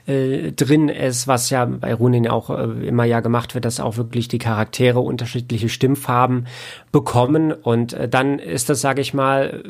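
Thoughtful man with a creaky voice. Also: medium pitch, little pitch movement, normal speed, a little mellow. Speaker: audiobook editor and producer, interview guest. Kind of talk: casual interview conversation.

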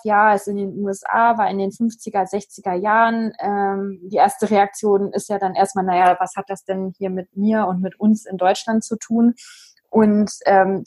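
A woman co-hosting a podcast, talking through a book she read in a thoughtful, halting way with many fillers. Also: medium pitch, a little mellow, breathy edge. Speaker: podcast co-host. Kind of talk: casual book chat.

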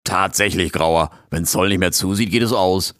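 A man's voice: sits low, moderate pace, a bit bright, dry recording, clean studio sound. A man voicing a cheeky male raccoon intern who grumbles in street slang. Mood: cocky.

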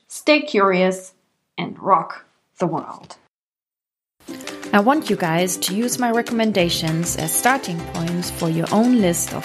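A woman with a German accent, a native German speaker, hosting her own podcast as an English coach. She speaks carefully and clearly at a measured pace. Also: on the low side. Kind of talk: solo podcast monologue.

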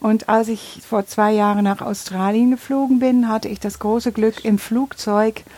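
A woman's voice: fairly high pitch, steady tone, unhurried, mellow, dry recording. A woman in her fifties chatting casually and sharing an enthusiastic story. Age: 50s. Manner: attentive listener, enthusiastic storyteller. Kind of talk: casual chat.

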